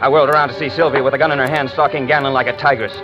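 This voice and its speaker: A man's voice: medium pitch, fairly even intonation, rapid-fire, close to an everyday voice. An actor playing a tough, wisecracking young man who narrates his story in a 1940s radio drama, with clipped, sardonic delivery. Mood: narrating. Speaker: hard-boiled narrator and lead. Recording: dull old radio broadcast with music.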